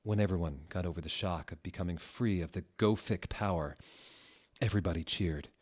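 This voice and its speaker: A man giving a theatrical, mock-dramatic reading of a story, mostly deadpan with sudden loud outbursts. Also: low voice, brisk. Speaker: dramatic reader.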